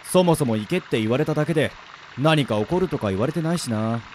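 A man's voice: medium pitch, animated, fast, a little mellow, slight background noise, natural voice. Man acting a grumbling, blunt young guy. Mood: puzzled, muttering. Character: grumbling, unlucky, skeptical casual young man. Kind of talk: Japanese radio drama acting with monologue.

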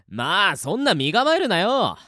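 A man acting in an audio drama as a cocky veteran death-game player who teases his rivals in rough, casual speech. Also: high-pitched, bright, forceful tone, dry recording, clean studio sound, full character voice. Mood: smug, relaxed.

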